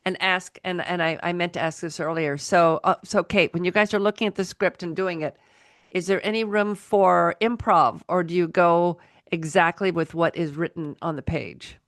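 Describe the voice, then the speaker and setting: A woman hosting a casual interview, asking questions in an enthusiastic way with halting restarts. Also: lowish voice, steady tone, no room sound, clean studio sound. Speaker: podcast host, interviewer.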